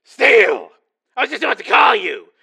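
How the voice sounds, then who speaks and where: A man's voice: very high-pitched, huge pitch swings, normal speed, creaky, pushed and bright, breathy edge, heavily acted, low-quality recording. A man voicing an older police boss in an audiobook thriller, urgent and insistent as he gives orders.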